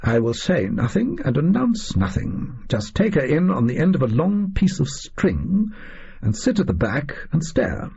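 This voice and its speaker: A man's voice: a little high, big pitch swings, unhurried, creaky, dark tone, husky, dull audio, full character voice. A man voicing a pompous, eccentric explorer-scientist reading out his diary in clipped, self-important phrases, for an audio story. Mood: smug, matter-of-fact.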